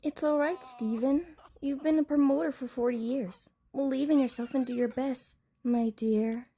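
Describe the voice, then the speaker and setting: A woman playing a gentle, reassuring wife in a comic dub, soothing and tender. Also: sits high, steady tone, normal speed. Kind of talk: comic-book dub voice acting.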